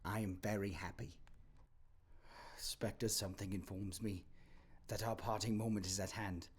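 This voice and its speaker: A man playing Scrooge, an old miser begging a spirit near tears, his voice straining high and desperate in an audio drama. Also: fairly even intonation, unhurried, breathy edge. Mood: solemn. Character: aging miser Scrooge, terrified and pleading.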